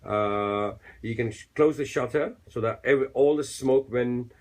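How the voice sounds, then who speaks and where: A male chef talking as a radio studio guest, relaxed and explanatory, with many fillers. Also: medium pitch, moderate pace. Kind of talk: studio interview.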